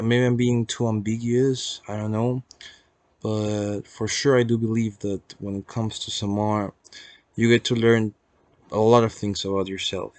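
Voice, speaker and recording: A young man with a Peruvian accent speaks in a fairly low voice, recorded close and dry. He rambles reflectively in a casual personal vlog, pausing and hesitating as he searches for words, with some easy swearing. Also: no room sound. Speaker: vlogger.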